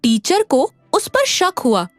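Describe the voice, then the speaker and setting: A woman reading scripted narration for an animated children's story. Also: high voice, slow, no echo, soft background music.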